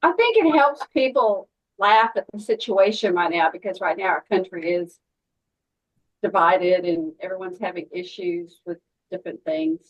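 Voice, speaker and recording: A woman with a slightly low voice offers a casual, personal opinion in a discussion. The recording is a little dull and lo-fi. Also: moderate pace. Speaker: participant in a script discussion.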